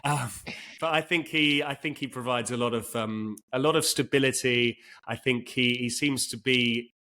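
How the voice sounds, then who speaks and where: A man's voice: medium pitch, normal speed, pushed and bright, slight background noise. A man hosting a casual football podcast, thoughtful and given to restarts.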